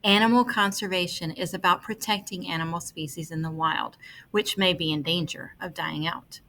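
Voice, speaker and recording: A woman reading an article aloud as scripted narration, with measured, deliberate phrasing. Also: fairly low pitch, steady tone, sharp tone.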